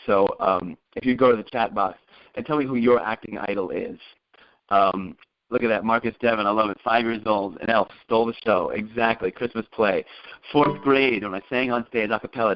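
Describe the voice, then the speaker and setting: Man, medium pitch, informal motivational coach, conversational, emphatic, storytelling, dull lo-fi call recording. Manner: conversational, checks in with listeners with tag questions. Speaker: acting career coach presenting a group call. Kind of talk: informal motivational talk with personal anecdotes. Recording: dull, lo-fi conference call audio.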